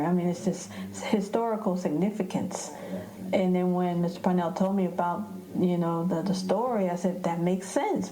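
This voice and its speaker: A woman speaking as a stage director in an interview, emphatic and often searching for her words. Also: lowish voice. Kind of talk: conversational interview. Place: echoey indoor theater. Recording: echoey room.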